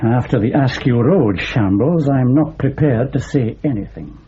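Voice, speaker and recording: An actor playing a dry, concerned senior British police detective in a radio drama, measured and businesslike. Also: male voice, medium pitch, dark tone, lightly acted. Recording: muffled, noisy radio drama recording.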